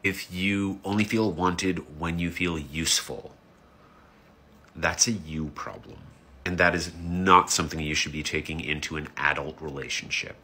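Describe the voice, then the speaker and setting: Forties dude reacting w/ sarcasm, real deep but bright and husky, swings a lot. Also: male voice, moderate pace. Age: 40s. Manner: sarcastic, incredulous. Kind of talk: reaction commentary.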